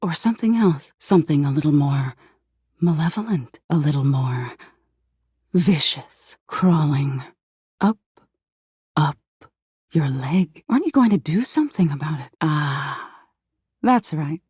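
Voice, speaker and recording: Dark, breathy, teasing nightmare spirit. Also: female voice, low-pitched, lively intonation, no echo, clean studio sound, full character voice. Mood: menacing, creeping. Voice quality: breathy, husky. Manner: slow, drawn-out, playful menace, slipping into breathy whispers. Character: sinister, teasing female living nightmare, seductively menacing. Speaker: video game character voice actor. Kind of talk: theatrical video game voice acting.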